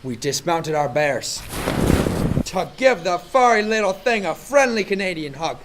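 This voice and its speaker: A man's voice: sits high, very animated, measured pace, very noisy. A man playing an earnest, over-polite Canadian policeman who narrates a comic radio play in a mock-serious way. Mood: mock-serious narration.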